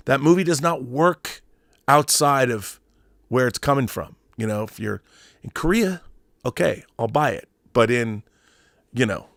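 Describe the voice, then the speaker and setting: A man's voice: mid-range voice, no echo, clean studio sound. A middle-aged American man giving casual, opinionated commentary as a show host, rambling and emphatic, with some swearing.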